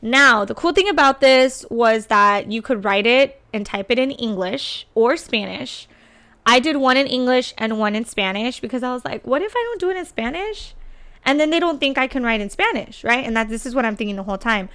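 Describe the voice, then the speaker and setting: A woman's voice: fairly high pitch, animated, normal speed, fairly bright tone, clear, resonant tone. A young adult woman chatting casually about her test experience, often saying "girl" and "like". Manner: chatty, says "girl" and "like" a lot. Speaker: teacher candidate sharing test experience.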